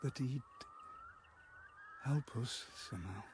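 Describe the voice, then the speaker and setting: An actor playing a haunted, halting man who recalls a wartime childhood in a radio drama. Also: mid-range voice, noisy background, natural voice. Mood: sorrowful, bitter. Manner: halting, fragmented, hesitant delivery.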